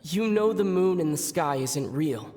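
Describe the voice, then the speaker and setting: A man playing an earnest young traveler in a video game, gently asking questions. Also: on the high side, lively intonation, measured pace. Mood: gently probing. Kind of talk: video game character acting. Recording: long reverb, music behind.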